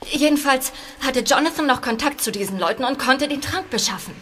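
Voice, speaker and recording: A woman's voice: sits high, animated, a little fast, fairly bright tone, a little hiss or hum. An actress playing a young woman accomplice in a radio play, confessing with hesitant, stammering speech.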